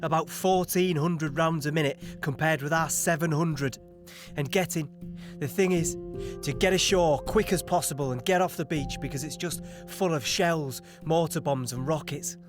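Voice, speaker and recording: A man's voice: fairly high pitch, moderate pace, over music, close to an everyday voice. A man playing an earnest, fearful British soldier in an audio play, recounting battle in a reflective and emphatic way. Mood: urgent, fearful. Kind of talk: dramatic first-person monologue in audio play.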